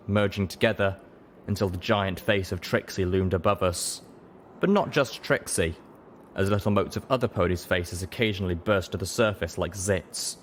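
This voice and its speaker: Deep-voiced man narrating a story. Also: a little hiss or hum. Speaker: audiobook reader. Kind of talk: dramatic fan-fiction audiobook narration.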